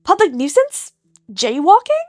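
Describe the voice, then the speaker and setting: A woman voicing a deadpan, mouthy, reckless teenage boy vigilante who argues glibly in an audio story. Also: very high voice, a little slow, dry recording, studio quality, faint music behind, pitched above the performer's own voice, exaggerated voice. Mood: defiant, glib. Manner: glib, unbothered, argumentative.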